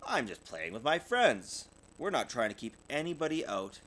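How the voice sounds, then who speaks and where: A man's voice: mid-range voice, big pitch swings, normal speed, fairly bright tone. A man voicing a young girl bear cub from a storybook, childlike, friendly and earnest. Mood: defensive.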